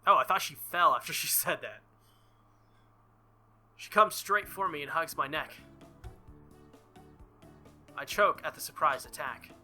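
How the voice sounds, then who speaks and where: A man's voice: on the high side, expressive, measured pace, pauses often, bright, forceful tone. A man reading a visual novel aloud as a let's-play host, theatrical and jokey, with casual asides. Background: faint game music.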